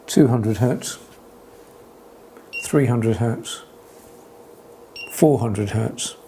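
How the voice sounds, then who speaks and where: A man giving a hobbyist's spoken equipment demonstration, calling out readings in a measured, deliberate, matter-of-fact way. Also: mid-range voice, dark tone, a little hiss or hum.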